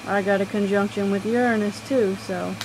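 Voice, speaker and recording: A woman with a quiet voice talking casually about astrology, rambling and halting with many fillers. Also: medium pitch, fairly even intonation, background noise. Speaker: astrology vlogger. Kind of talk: casual personal talk.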